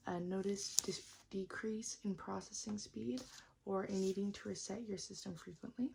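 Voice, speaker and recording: Softly whispering ASMR woman. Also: medium pitch, fairly even intonation, moderate pace, vocal fry, background noise. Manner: gentle, reassuring, repeats words in trailing whispers. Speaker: ASMR performer role-playing a robot technician. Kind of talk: soft-spoken and whispered ASMR roleplay.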